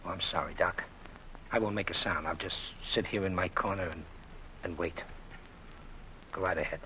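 An actor playing a blunt, hard-nosed American government official in an old radio drama, with a raspy, forceful voice. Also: male voice, lowish voice, normal speed, slight background noise, rough recording. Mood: subdued, gruff.

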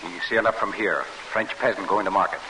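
A fast-talking, stubborn soldier. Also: male voice, deep voice, fairly even intonation, bright, forceful tone, rough recording. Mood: impatient. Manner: brusque, argumentative. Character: stubborn, impatient wounded soldier on the run. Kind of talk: old-time radio drama acting. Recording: hissy vintage radio broadcast.

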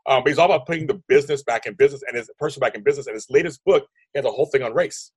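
A man in his fifties with a deep voice, speaking as a guest in an interview, rambling and emphatic, often restarting his sentences. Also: rapid-fire, husky, dry recording. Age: 50s. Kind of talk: animated conversational interview.

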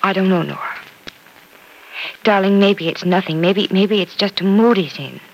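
A woman's voice: medium pitch, moderate pace, slight background noise, natural voice, low-quality recording. A woman playing a soothing, motherly confidante. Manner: soothing and reassuring. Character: gentle, comforting woman confidante. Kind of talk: 1950s radio soap acting.

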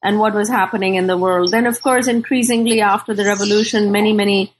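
A middle-aged woman from Pakistan speaking as a scholar in an interview, thoughtful and deliberate, often pausing to search for words. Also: medium pitch, steady tone. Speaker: scholar and author being interviewed. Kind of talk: thoughtful academic interview talk.

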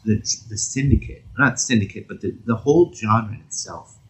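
A man co-hosting a podcast in casual discussion, talking haltingly and rephrasing his thoughts as he goes. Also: on the low side. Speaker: podcast co-host. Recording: clearly noisy background with clicks.

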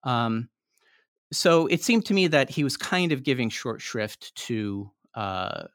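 A man giving long academic answers as an interview guest, pausing often with fillers and restarting his phrases. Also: mid-range voice, sing-song, no room sound, clean studio sound. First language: not Japanese; learned Japanese as foreign language. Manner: hesitant, many fillers and restarts. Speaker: author guest.

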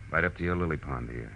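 Deep-voiced hard-boiled private eye. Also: male voice, creaky, bright, forceful tone, slight background noise, exaggerated voice. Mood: brisk, wry. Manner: terse, sardonic, dramatic. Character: tough, wry male private detective narrating his case. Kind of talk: 1940s radio drama acting, hard-boiled narration. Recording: muffled old radio recording with music.

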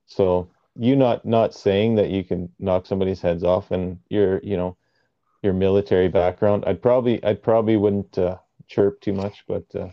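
Brief: low-pitched laid-back man from Canada, agreeable, muffled lo-fi sound. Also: steady tone, moderate pace, dry recording. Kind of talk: casual chat. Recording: dull lo-fi audio.

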